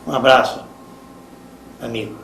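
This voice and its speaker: Brazilian man, flat tone, distant and roomy. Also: medium pitch, a little slow. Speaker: interviewee giving a tribute. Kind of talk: spoken testimonial. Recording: roomy, distant mic, faint noise.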